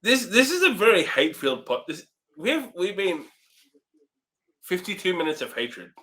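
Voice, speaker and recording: A man's voice: high voice, very animated, moderate pace, pushed and bright. A man co-hosting a podcast in casual, joking banter. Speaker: podcast co-host.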